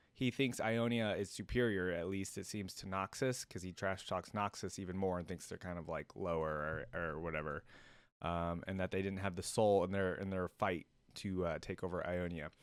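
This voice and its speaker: A man's voice: lowish voice, clear, resonant tone, dry recording. A man giving casual, rambling reaction commentary as a video host, thinking aloud. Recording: music playing behind.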